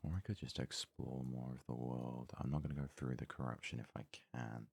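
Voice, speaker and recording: Very deep, gravelly, hushed ASMR man. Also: steady tone. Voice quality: husky, breathy, hushed voice. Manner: murmured, unhurried thinking aloud. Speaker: let's play host. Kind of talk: ASMR gaming commentary.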